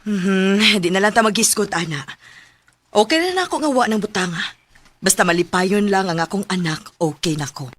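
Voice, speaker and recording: A woman's voice: mid-range voice, expressive, normal speed, a bit bright, close to an everyday voice. A woman with a Cebuano accent playing a protective middle-aged mother, easily exasperated, in a radio drama. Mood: modest, resigned. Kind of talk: radio drama acting and narration.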